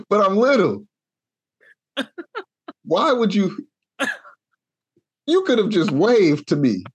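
A young adult man from Kansas telling a long, animated story in a casual podcast chat, acting out the voices he quotes. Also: high-pitched, very slow, pauses often, slightly rough, highs cut off. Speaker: podcast co-host.

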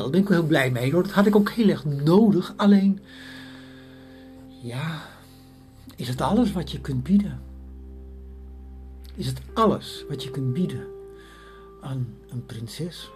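A woman voicing a demanding bird princess in a spoken story, talking in a condescending, drawn-out manner. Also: low-pitched, lively intonation, music in the background. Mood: haughty.

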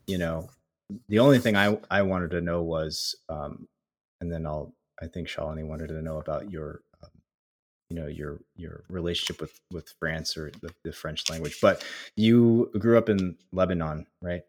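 A man's voice: sits low, normal speed. A man hosting a casual chat, loose and jokey, often repeating words as he goes. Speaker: host.

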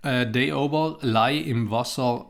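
A man's voice: mid-range voice, measured pace, creaky. A man co-hosting a podcast, telling stories in casual, colloquial dialect. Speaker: podcast co-host. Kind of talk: casual banter and storytelling.

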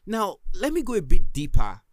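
A man's voice: high-pitched, huge pitch swings, moderate pace, dry recording, clean studio sound. A man with a Nigerian accent, hosting a solo podcast and giving earnest, emphatic advice. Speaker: podcast host.

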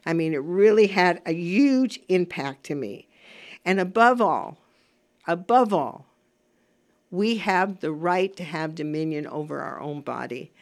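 A woman's voice: on the low side, animated, a little slow. A woman hosting a radio show, talking informally and emphatically, rambling through opinions and repeating phrases for weight. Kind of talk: informal radio monologue with personal opinion and anecdote. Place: radio studio.

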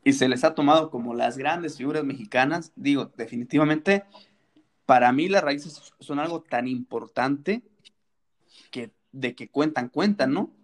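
A man's voice: mid-range voice, measured pace. A man co-hosting a casual wrestling chat, playful and teasing, fond of rhetorical questions. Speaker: wrestling podcast co-host.

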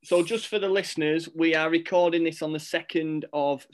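A man's voice: on the high side, steady tone, moderate pace. A man hosting a school podcast, reading a scripted welcome and then chatting in an upbeat, encouraging way. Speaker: podcast host. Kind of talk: school podcast host, scripted intro then casual chat.

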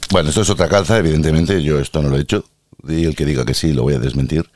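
A man's voice: extremely low pitch, fairly even intonation, quick pace, darkish tone, a little hiss or hum. A man with an Andalusian accent hosting a casual podcast monologue, ranting in a sarcastic, playfully theatrical way about work as a healthcare worker.

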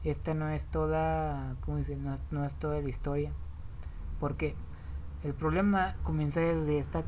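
A woman's voice: sits low, dark tone, slight background noise. A woman with a Mexican accent explaining diet habits in a casual, rambling way, often restarting and correcting herself.

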